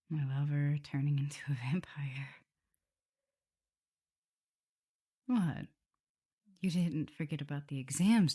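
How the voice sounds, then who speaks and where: A woman playing an affectionate, teasing vampire mistress in an intimate ASMR roleplay, speaking softly and tenderly with a breathy, husky voice. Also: low voice. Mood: playful. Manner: soft, unhurried, tender, gently teasing. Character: affectionate, teasing female vampire mistress and professor, doting lover.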